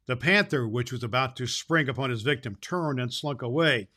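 A man reads a children's tale aloud as Santa Claus. His voice is bright and forceful at a middling pitch, and he leans into the words with dramatic emphasis. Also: animated, moderate pace. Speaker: children's story reader presenting as Santa Claus. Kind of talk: storybook read-aloud for children.

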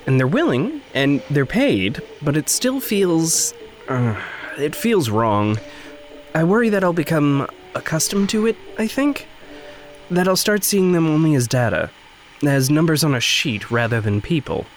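An actor playing an earnest, anxious, conscientious man in an audio drama, speaking haltingly and trailing off. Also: fairly high pitch, very animated, slight background noise. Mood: troubled, earnest. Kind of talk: naturalistic audio drama acting.

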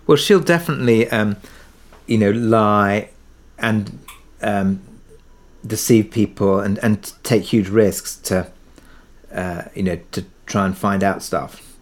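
Lower-voiced man, hesitant interview guest. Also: slow pace, pauses often. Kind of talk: reflective interview conversation.

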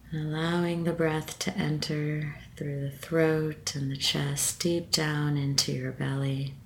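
A woman leading a guided meditation with a gentle, soothing manner and measured phrasing. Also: low voice, slight background noise.

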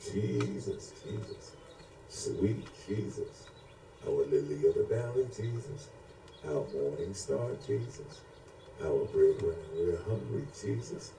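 A man's voice: deep voice, expressive, takes it slowly, gravelly. A man preaching and praying fervently off the cuff, with repetitive, chant-like invocations. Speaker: preacher leading prayer. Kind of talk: fervent extemporaneous prayer and exhortation. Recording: roomy echo with faint background noise.

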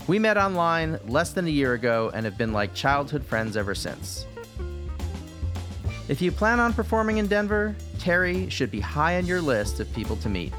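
A man's voice: fairly high pitch, sing-song, moderate pace, fairly bright tone. A man hosting a podcast, reading a scripted upbeat introduction and sign-off notes. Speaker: podcast host. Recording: music bed behind the voice.

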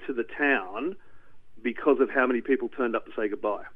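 A middle-aged man with an Australian accent, from Newcastle, talking as an interview guest, animated and rambling with restarts. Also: medium pitch, a little fast. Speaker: guest, documentary director. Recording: tinny phone line.

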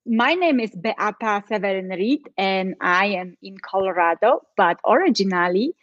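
A woman's voice: medium pitch, lively intonation, moderate pace. A woman with a Polish accent speaks earnestly, with feeling, as a podcast guest. Her voice is muffled and dull, with a dry sound and no room echo. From Poland. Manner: earnest, tells her story with feeling, acts out quoted voices. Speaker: guest, change and transition coach. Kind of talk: personal story in a podcast chat.

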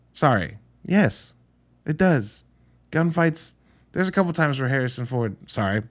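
Male film podcast host, mid-range voice, casual and blunt, talks conversationally with frequent check-ins to the listener. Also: mid-range voice. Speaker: podcast host reviewing films. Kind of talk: casual solo film review commentary.

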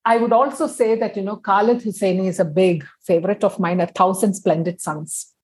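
Wanita India bersuara serak, bercerita santai. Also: female voice, mid-range voice, fairly quick, a little mellow, husky. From India (Kerala). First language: Tamil. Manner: chatty, many fillers, laughs through stories. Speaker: guest. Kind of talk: casual interview chat.

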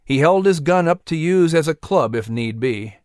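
A man reading a children's adventure story as narrator, expressive and suspenseful. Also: a little high, normal speed, clear, resonant tone, no echo, clean studio sound. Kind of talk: dramatic scripted story narration.

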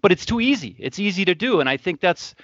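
A man's voice: high voice, very animated, fast, a bit bright, no room sound, muffled recording. Casual male podcast host, dry close sound.

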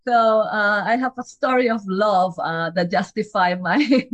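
A woman's voice: mid-range voice, a little slow, no echo, highs cut off. A woman from Peru in her forties with a Peruvian Spanish accent, a physician talking as a guest in an interview, laughing lightly and restarting phrases as she goes. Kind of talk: casual interview conversation.

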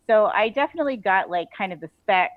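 A woman's voice: mid-range voice, expressive, fast talker, no room sound. A woman chatting casually and enthusiastically as an interview guest, in an informal way. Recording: narrow phone-like call audio.

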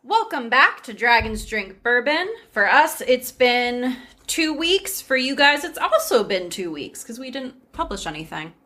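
A woman with a bright, ringing voice hosts a relaxed podcast among friends. She talks in a breezy, chatty way, full of "like" and quick asides. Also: on the high side. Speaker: podcast host. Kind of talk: casual storytelling chat with friends.

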